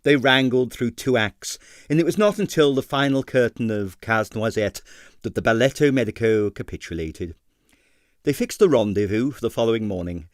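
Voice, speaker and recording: An expressive man narrates a comic detective story in a wry storytelling manner. His mid-pitched voice moves freely up and down, and the sound is dry, without room echo. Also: moderate pace. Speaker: audiobook reader. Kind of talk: dramatised narration of a comic 1930s detective novel.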